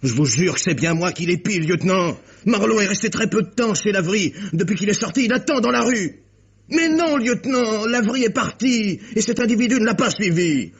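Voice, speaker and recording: An actor playing an anxious, indignant doctor, husky and insistent, in a French radio crime drama. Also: male voice, high-pitched, lively intonation, brisk, full character voice. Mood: indignant, panicky. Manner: agitated, insistent, formal. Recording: dull, lo-fi old radio recording.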